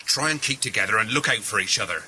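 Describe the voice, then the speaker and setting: Bright, forceful country corporal. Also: male voice, on the low side, expressive, quick pace, slight background noise, poor audio. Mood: stern, instructing. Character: earnest bossy corporal rallying his village lads. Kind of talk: radio drama acting.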